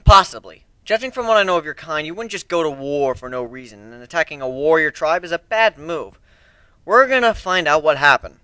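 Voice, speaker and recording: A man's voice: on the high side, expressive, brisk, bright, forceful tone, smooth, no room sound, voice pushed up, poor audio. A man playing a confident, commanding male pirate crew leader in a fantasy story reading, assertive and reasoning.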